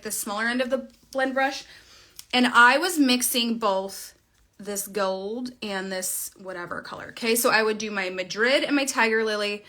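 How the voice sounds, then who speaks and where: Bright, slightly high-voiced woman in her thirties, chatty live makeup host on a phone camera. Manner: chatty, bubbly, talks while applying makeup. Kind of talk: casual livestream makeup tutorial. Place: small indoor room at home. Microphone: phone camera at arm's length.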